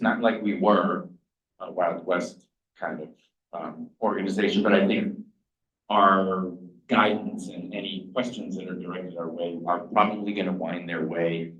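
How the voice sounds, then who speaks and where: Speak as a deep-voiced man addressing a crowd, a little unsure, through a dull, roomy pickup. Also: a bit bright. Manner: self-deprecating, hesitant with fillers. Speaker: state revenue department representative. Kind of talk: meeting remarks. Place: large meeting room. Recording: echoey room, distant mic, dull sound.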